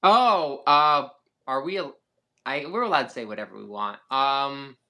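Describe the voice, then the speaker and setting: A man's voice: mid-range voice, lively intonation, measured pace, pushed and bright. A man reviewing sunglasses in a casual chat, talking in a hesitant way with lots of fillers and restarts.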